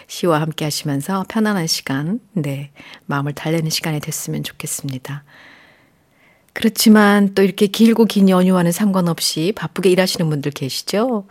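A woman radio DJ hosting her show, talking gently and conversationally to listeners from a studio. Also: lowish voice, normal speed.

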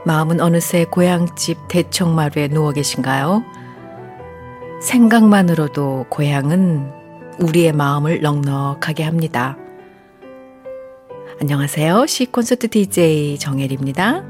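A woman radio DJ hosting her show, talking gently and conversationally to listeners from a studio. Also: sits low, unhurried, faint music behind.

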